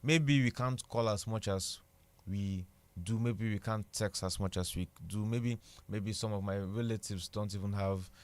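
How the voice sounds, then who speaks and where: A young man with an Igbo Nigerian accent, a guest in a relaxed radio interview, thinking aloud as he talks. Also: fairly low pitch, unhurried. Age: young adult. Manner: thoughtful, repeats words while searching. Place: radio studio.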